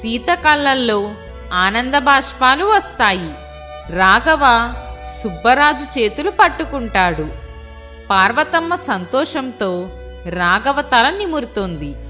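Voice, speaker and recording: A woman's voice: a little high, very animated, takes it slowly, long pauses, bright, forceful tone. A woman reading scripted narration as the storyteller of a moral tale. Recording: prominent music behind.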